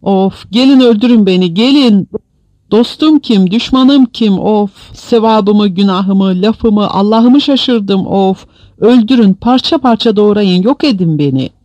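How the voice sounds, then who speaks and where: A man's voice: high voice, measured pace, dry recording, voice pushed up. A man voicing an anguished, crazed male prisoner who wails and cries out desperately in a dramatized audiobook. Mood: desperate wailing. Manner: loud, wailing, desperate cries.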